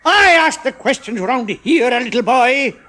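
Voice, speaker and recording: A man's voice: very high-pitched, lively intonation, measured pace, pitched above the performer's own voice, full character voice. A man voicing a bossy, snapping male alien chemist in a storybook reading. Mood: bullying. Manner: snapping, shouting.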